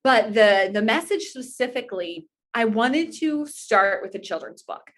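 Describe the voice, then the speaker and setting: A woman's voice: on the high side, lively intonation, a little slow, a bit bright. A young American woman giving conversational interview answers as a podcast guest. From Indiana, United States. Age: young adult. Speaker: podcast guest, author and pageant titleholder.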